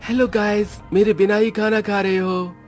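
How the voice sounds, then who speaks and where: Man voicing young family man, very high male voice, breezy, familiar, cartoon dialogue, background music. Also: little pitch movement, moderate pace, natural voice. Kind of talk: Hindi moral story cartoon dialogue.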